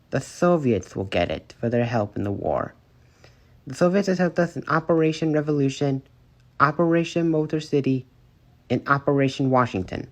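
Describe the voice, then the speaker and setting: A man acts out a wartime leader in an amateur alternate-history drama. He proclaims victory in a formal speech, solemn and triumphant, with deliberate, declamatory phrasing at a middling pitch. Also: close to an everyday voice, poor audio.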